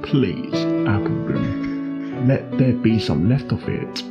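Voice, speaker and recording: Weary, formal detective doctor for dramatic audio story, low and deliberate male reader in role, music behind. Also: big pitch swings, dull audio, heavily acted. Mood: quietly pleading. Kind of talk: dramatic fan fiction reading.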